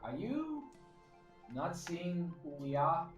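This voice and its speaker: A woman's voice: fairly low pitch, sing-song, slow pace, highs cut off. A woman playing a tabletop game, talking casually and slipping into her character's lines. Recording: roomy, distant mic. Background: music.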